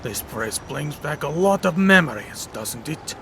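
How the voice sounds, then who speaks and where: A man playing an unhinged, taunting male supervillain in a comic book dub, sly and mock-wistful as he makes deliberate threats. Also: fairly high pitch, expressive, background noise.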